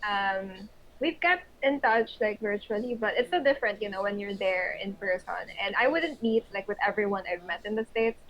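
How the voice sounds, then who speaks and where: A woman's voice: medium pitch, moderate pace. A woman from the Philippines, a podcast guest chatting casually and laughing often. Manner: laughs often, warm and encouraging. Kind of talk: casual chat. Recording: dull lo-fi sound.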